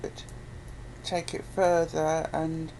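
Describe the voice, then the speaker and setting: A woman's voice: deep voice, monotone, takes it slowly, creaky, warm tone, rough recording. A woman speaking informally as an interviewer in a casual, conversational chat. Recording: faint background noise.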